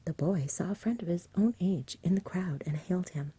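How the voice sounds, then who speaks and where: A woman softly reading a storybook in a hushed, breathy ASMR whisper with a gentle delivery. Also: lowish voice, normal speed. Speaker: reader, ASMR host.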